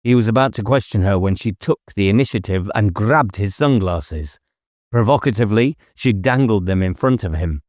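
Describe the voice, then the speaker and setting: A man's voice: on the low side, moderate pace, a little mellow, no echo, clean studio sound. A man reading a novel aloud as an audiobook narrator, in a scripted dramatic style.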